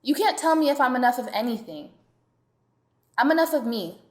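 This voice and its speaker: A woman performs spoken-word poetry in a high voice, her delivery rhythmic and assertive. She sounds defiant as she makes her point. Her lines echo around a roomy space. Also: lively intonation, brisk.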